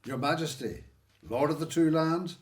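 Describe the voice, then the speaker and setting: An actor playing a deferential servant at a Pharaoh's court in a radio drama. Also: male voice, a little high, normal speed, natural voice.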